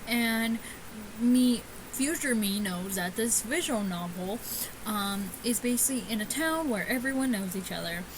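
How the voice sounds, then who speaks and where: A woman's voice: a little high, expressive, a little slow, background noise. A woman hosting a gaming video with casual, chatty let's-play commentary, stumbling over words as she reads aloud. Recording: music behind the voice.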